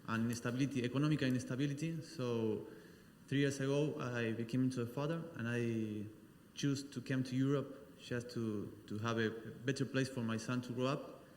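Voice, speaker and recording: A man from Argentina speaks at a conference, halting with restarts. His voice sounds roomy, with a long hall tail. Faint ambience sits behind him. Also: mid-range voice, steady tone, darkish tone, slight background noise. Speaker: panel speaker. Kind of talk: conference testimonial.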